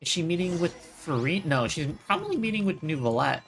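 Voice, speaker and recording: A woman's voice: low voice, expressive, brisk, a little hiss or hum. A woman live streamer giving casual, informal, reactive commentary while she plays a game.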